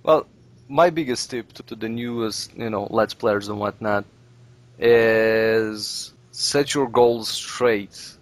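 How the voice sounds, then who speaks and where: A man's voice: fairly low pitch, slow pace. A man hosting a casual podcast chat and giving blunt, emphatic advice. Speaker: podcast host.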